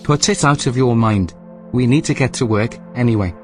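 A man playing a calm, precise artificial intelligence that runs a research station in an audio drama, speaking in a measured, formal, deadpan way. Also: medium pitch, faint music behind, natural voice. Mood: calm, dismissive.

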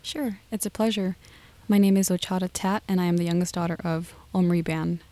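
A woman guest answering interview questions, calm, matter-of-fact and wry. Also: on the low side, fairly quick. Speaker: guest, author.

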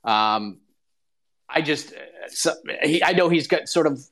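A man's voice: fairly high pitch, animated, slow pace, a bit bright. Casual rambling male MMA show host. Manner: rambling, full of fillers and restarts. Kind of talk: casual solo talk show.

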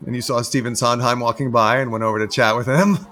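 An actor playing an awkward, eager-to-please theatre producer in an audio comedy, stammering and repeating himself nervously. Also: male voice, mid-range voice, steady tone, quick pace, fairly bright tone, a faint crowd, close to an everyday voice. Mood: flustered. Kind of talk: audio musical comedy acting.

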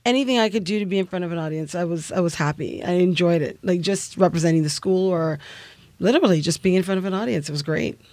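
A woman's voice: lowish voice, fast talker, a little mellow, no echo. A middle-aged woman from Canada, a singer telling her story in an interview, chatty with many fillers and laughs. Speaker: singer guest.

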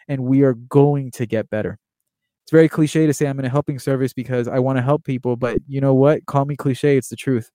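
A young man from Miami, Florida, talking earnestly and quickly in a casual monologue, with plenty of fillers and restarts. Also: medium pitch, warm tone, no room sound. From Miami, Florida, USA. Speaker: podcast host and baseball writer.

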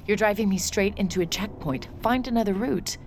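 An actress playing an anxious, wounded adult daughter in an audio drama. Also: female voice, medium pitch, fairly quick, a little mellow, a little hiss or hum, close to an everyday voice. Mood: panicked.

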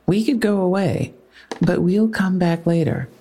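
Low, mellow, firm policewoman. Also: breathy edge. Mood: firm, composed. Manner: measured, polite but unyielding. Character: firm, composed female police officer questioning a witness. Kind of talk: naturalistic audio drama dialogue.

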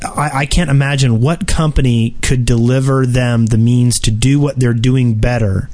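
A man's voice: mid-range voice, steady tone, moderate pace, a little mellow. A man co-hosting a casual gaming podcast, giving firm, doubtful opinions. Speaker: podcast co-host.

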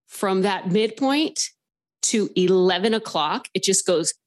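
Chatty woman telling a rambling story. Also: mid-range voice. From Southern California. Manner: animated, rambling, heavy use of filler words. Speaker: caller sharing a paranormal story. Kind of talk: casual storytelling on a phone-in podcast.

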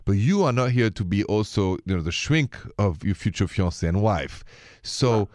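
A man with a French accent and a fairly low voice hosts a relationship call-in show. He talks conversationally and addresses the listener directly. The sound is dry, with very little room around the voice. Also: expressive, moderate pace, darkish tone, no echo. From Paris, France. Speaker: radio host giving relationship advice. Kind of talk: conversational call-in radio talk.

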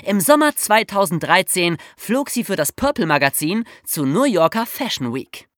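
A man co-hosting a scripted true-crime podcast, reading in an emphatic, animated way. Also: high-pitched, normal speed, dry recording, clean studio sound. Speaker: podcast co-host.

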